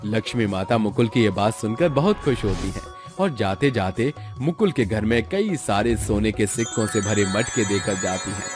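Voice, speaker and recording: A man narrating a scripted moral story as the storyteller. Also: fairly low pitch.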